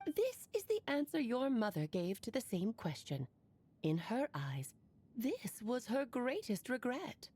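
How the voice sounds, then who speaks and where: A woman's voice: a little high, huge pitch swings, measured pace, darkish tone, husky, full character voice. A woman playing a breezy, eccentric witch auntie in an anime video game, with a theatrical storyteller's flourish. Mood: solemn, dramatic.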